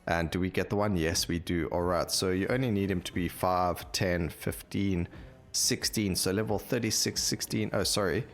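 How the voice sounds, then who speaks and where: A deep-voiced man hosts a gameplay video in a casual way. His voice has a lot of creak and fry in it. He thinks aloud as he reads through stats and details on the screen. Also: fairly even intonation, moderate pace, faint music behind. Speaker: gaming YouTuber host.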